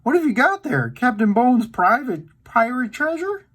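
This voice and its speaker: A man voicing a goofy, excitable young man from a cartoon gang in a storybook. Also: very high-pitched, normal speed, voice pushed up, heavily acted. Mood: excited.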